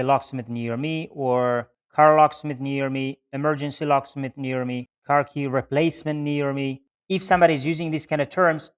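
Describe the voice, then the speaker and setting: A man's voice: fairly high pitch, clear, resonant tone. A man explaining things step by step as an instructor, in a methodical screen-share tutorial style with repeated phrasing. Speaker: instructor, Google Ads specialist.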